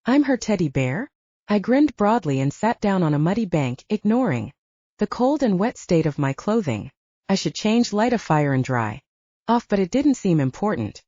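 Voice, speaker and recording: A woman's voice: medium pitch, warm tone, dry recording, highs cut off. A woman narrating a fiction audiobook in a scripted storytelling style.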